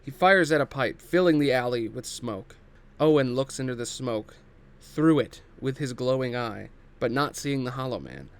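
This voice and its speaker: Mid-pitched man narrating a script. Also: lively intonation, moderate pace. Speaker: narrator of a table read. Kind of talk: scripted narration of screenplay action.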